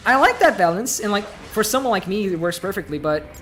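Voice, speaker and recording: A man's voice: high voice, expressive, quick pace, fairly bright tone, soft background music. A man from Brazil with a light Brazilian accent, chatting casually and rambling with many fillers while livestreaming a game. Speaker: streamer.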